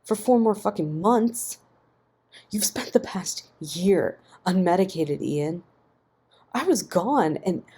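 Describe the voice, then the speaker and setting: A man's voice: sits high, husky, heavily acted. A woman voicing an angry, resentful, foul-mouthed man who snaps and swears in a narrated story. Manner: snapping, profane, forceful.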